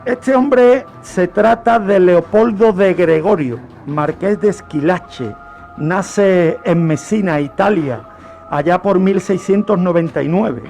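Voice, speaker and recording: Guy from spain doing a history radio talk, slow, lots of pauses, faint music behind. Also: high voice, creaky, darkish tone. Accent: Spanish, from Spain. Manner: explanatory, rhetorical questions, deliberate pauses. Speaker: radio show host. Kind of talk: history storytelling, semi-improvised radio talk.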